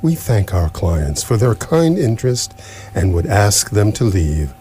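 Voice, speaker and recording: A man voices a coldly courteous recorded announcement in sci-fi radio theatre. The voice is low and formal, yet moves through lively, unctuous inflections. Faint hiss and noise sit in the live recording. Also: unhurried. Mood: polite menace. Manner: formal, smoothly courteous, officious. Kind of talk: comic science fiction radio theatre. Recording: noisy live stage recording.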